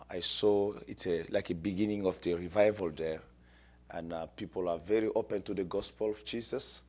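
A guest pastor from Congo speaks with a very low voice, husky and rough at the edges. He gives a fervent church testimony, often pausing on fillers as he finds his words. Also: a little mellow. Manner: fervent, halting with many fillers.

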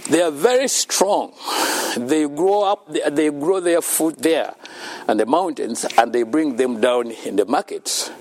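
A man's voice: on the high side, measured pace, darkish tone. A man from Uganda, giving an earnest faith-based talk about being thankful to God and often laughing between his points. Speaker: speaker at a children's home.

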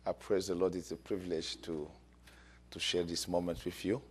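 A guest pastor from Congo speaks with a very low voice, husky and rough at the edges. He gives a fervent church testimony, often pausing on fillers as he finds his words. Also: level tone. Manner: fervent, halting with many fillers.

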